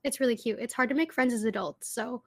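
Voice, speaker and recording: A young woman in her twenties chats loosely on a podcast, her voice sitting a little higher than most. She talks casually, full of "like" fillers, and laughs easily between thoughts. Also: quick pace, a bit bright. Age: 20s. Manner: chatty, many "like" fillers, laughs often. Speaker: podcast co-host. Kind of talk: casual chat.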